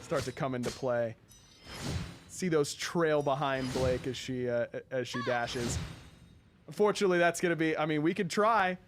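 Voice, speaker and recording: A man's voice: a little high, moderate pace, slight background noise. A man hosting a casual video game showcase, commenting in an easygoing, approving way. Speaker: show host.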